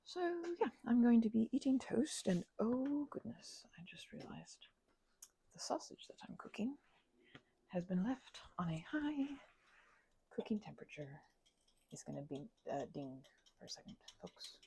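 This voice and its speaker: A woman rambling casually as an ASMR host, mumbling in a low-key, musing way, her voice hushed and often slipping toward a whisper. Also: very animated, unhurried, creaky, a little hiss or hum. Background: keyboard clicking.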